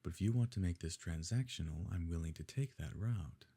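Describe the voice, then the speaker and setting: A man playing a possessive evil nobleman in an ASMR romance roleplay, speaking in a measured, formal, quietly coercive way with a husky, gravelly voice. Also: deep voice. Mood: smoothly coercive. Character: smooth, possessive evil nobleman courting a grieving beloved. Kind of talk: ASMR romance roleplay, scripted dramatic monologue.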